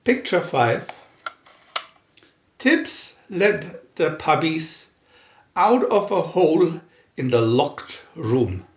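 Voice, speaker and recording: A man reads a children's storybook with deliberate care. His pitch swings widely as he goes, and the recording is dull and roomy, with a clear echo around the voice. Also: very animated. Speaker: storybook narrator. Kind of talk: scripted children's story reading. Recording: roomy, echoey, dull sound.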